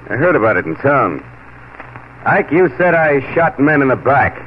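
An actor playing a cool, sardonic, loyal gunfighter in a 1940s radio western, speaking dryly. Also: male voice, medium pitch, very animated, moderate pace, close to an everyday voice. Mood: cool, mocking. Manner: dry, sardonic. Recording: tinny, noisy 1940s radio broadcast.

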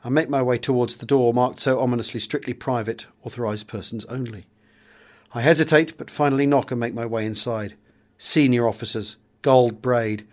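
A man's voice: medium pitch, normal speed, a little mellow. A man with a British accent reading a first-person memoir aloud as a dramatic audiobook narrator.

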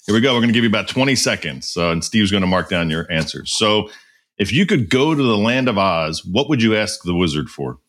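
A man hosting a podcast, asking questions and running a quick quiz game in a loose, repetitive way. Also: fairly low pitch, lively intonation, fairly bright tone. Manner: loose, repeats himself, many fillers. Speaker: podcast host.

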